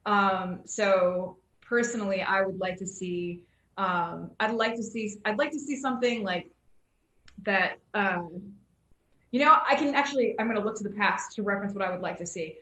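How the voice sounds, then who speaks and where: A woman moderating an informal online panel discussion, speaking haltingly as she thinks aloud. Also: mid-range voice, a bit bright. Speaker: panel moderator on a science committee. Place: indoor room, online video call. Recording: roomy, echoey video call.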